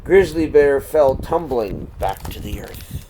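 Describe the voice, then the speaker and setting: A man reading a children's story aloud in a deliberate, expressive way, pausing between phrases. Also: mid-range voice, slight background noise, low-quality recording.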